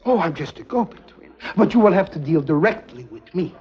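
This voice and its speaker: A greedy, jovial middleman in secrets from a 1950s TV spy drama. His voice is fairly high and very animated, with a slippery, formal way of talking. The old film sound is muffled. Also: a little fast, breathy edge, exaggerated voice. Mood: sly. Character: jovial, greedy European male go-between selling secrets.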